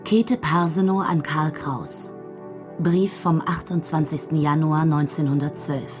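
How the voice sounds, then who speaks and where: Music plays clearly behind a woman letter-writer of 1910s bohemia in a radio drama. Her pitch is a little low for a woman. She tells things in a measured, observant way with dry amusement.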